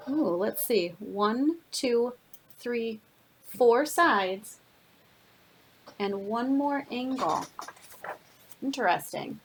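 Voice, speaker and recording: A woman reading a picture book aloud to children expressively, unhurriedly adding asides and pointing things out along the way. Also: on the high side.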